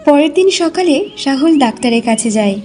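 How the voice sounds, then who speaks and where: A woman's voice: high-pitched, animated, a little fast, over music. A woman reading scripted narration for a cartoon folk-style story.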